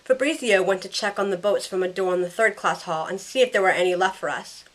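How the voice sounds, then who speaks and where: A woman acts out a caring young heroine telling her own story in an audio drama. It is scripted first-person narration. Her voice has a medium pitch. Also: fast talker, a bit bright, close to an everyday voice, poor audio. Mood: anxious narration.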